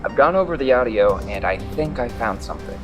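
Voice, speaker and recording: A woman's voice: rumbling low, animated, a little fast. A woman acting a sober, level-headed investigator in an audio drama trailer. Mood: measured, skeptical. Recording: music under the voice.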